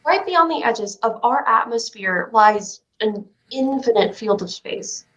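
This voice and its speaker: A woman's voice: a little high, expressive, measured pace, fairly bright tone, lo-fi sound. A woman playing a stubborn, dreamy child who plays astronaut, in a staged play reading. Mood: dreamy, musing.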